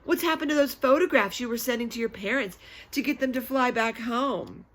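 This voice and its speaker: A woman voicing a cold, stern babysitter in a children's book, deliberate and sarcastic, stressing her words. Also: a little high, animated, fairly bright tone. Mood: cold mockery. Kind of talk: children's book read-aloud.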